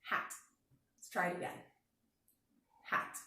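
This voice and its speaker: A woman teacher speaking slowly and clearly, encouraging children through a signing lesson and read-aloud. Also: bright, forceful tone. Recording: very echoey room.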